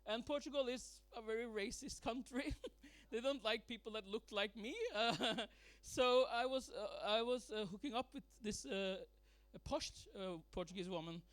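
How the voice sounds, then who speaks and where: A man in his thirties from Norway doing a deadpan stand-up set, with pauses for laughs. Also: extremely high pitch, no room sound. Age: 30s. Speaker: stand-up comedian. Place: comedy club stage with a live audience.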